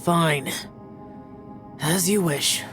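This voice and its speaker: A woman plays an aloof young male demon slayer from a video game. The voice is low and extremely slow, terse and brooding with a weary stoicism, over clearly audible music. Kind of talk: English game dub voice acting.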